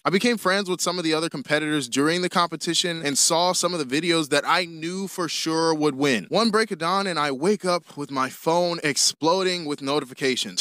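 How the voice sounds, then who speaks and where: A man's voice: fairly high pitch, pushed and bright, dry recording. Young man, American accent, casual storytime narration, dry close recording, slangy, self-deprecating. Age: young adult. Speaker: storyteller, YouTuber.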